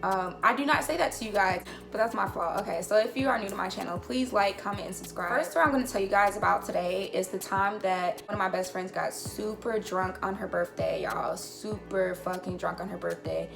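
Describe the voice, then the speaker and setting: A woman's voice: mid-range voice, a little fast, hardly any pauses, over music. A young woman from North Carolina with a naturally crackly voice, chatting through a rambling storytime vlog in her kitchen, swearing casually and full of fillers. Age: young adult. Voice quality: crackly, gravelly voice. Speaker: vlogger. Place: home kitchen.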